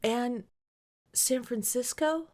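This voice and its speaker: A woman's voice: on the high side, slow pace, darkish tone, pitched above the performer's own voice. A woman voicing an alarmed female astronaut in a science fiction story read aloud. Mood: puzzled. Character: alarmed female astronaut aboard a space station.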